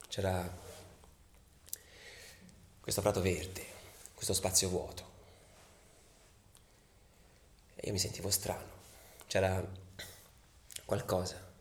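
A man acting a fervent football ultra in a theatrical monologue, telling his story in an intense, deliberate way with repeated phrases. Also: low-pitched, level tone, a little hiss or hum. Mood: reflective, emphatic. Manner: intense, deliberate, repeats phrases, swings between hushed and shouted. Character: fervent male football ultra recounting riots and away trips. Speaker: stage actor in a radio theatre piece. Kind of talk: dramatic first-person theatrical monologue.

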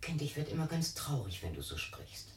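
A woman acting in a radio play as an anxious, weary elderly mother, placating and protesting with a husky, breathy voice. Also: deep voice, big pitch swings, brisk, in a whisper, heavily acted. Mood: weary, hushed. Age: older. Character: anxious, weary elderly mother soothing her troubled daughter.

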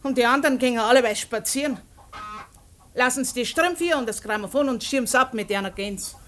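Woman acting a high-voiced, blunt Bavarian farm woman. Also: fairly quick, a bit bright, natural voice. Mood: agreeing. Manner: blunt, earthy dialect speech. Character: shrewd, suspicious country farm woman haggling over barter. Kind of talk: post-war radio play acting. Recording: noisy radio play with farmyard poultry.

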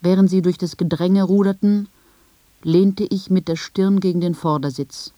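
A woman reads scripted, first-person literary narration in a measured, reflective manner with deliberate phrasing. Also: fairly low pitch, warm tone, no room sound. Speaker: narrator of a literary radio piece.

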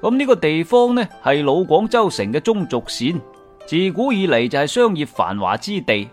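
Guy with guangzhou cantonese accent explaining old sayings, really animated delivery, faint music under him. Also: on the high side, moderate pace. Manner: colloquial, expressive, explanatory. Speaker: storyteller and presenter of Cantonese folk sayings. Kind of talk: lively scripted storytelling narration.

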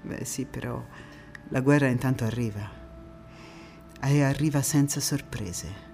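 An actress playing a passionate young Italian antifascist woman, recounting her life in a theatrical monologue with dramatic pauses and emphatic repetitions. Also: rumbling low, darkish tone, faint music behind. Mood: grave, hushed. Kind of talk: theatrical stage monologue, first-person storytelling.

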